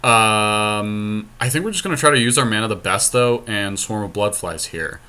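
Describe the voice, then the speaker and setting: A man's voice: lowish voice, normal speed, bright, forceful tone, smooth. A man co-hosting a podcast, giving casual, joking and sometimes sweary commentary as he plays a card game. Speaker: podcast co-host playing an online card game.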